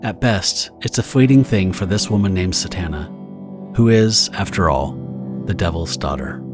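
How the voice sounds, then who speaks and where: A man's voice: low-pitched, normal speed, music in the background. A man giving scripted, dramatic narration as the narrator of an audio drama.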